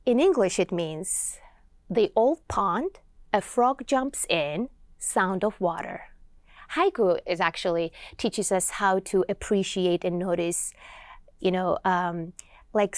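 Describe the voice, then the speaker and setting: A woman with a Georgian accent, a poet and writer being interviewed in a TV studio, speaking in a reflective, earnest, unhurried way. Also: mid-range voice, a little mellow, no room sound.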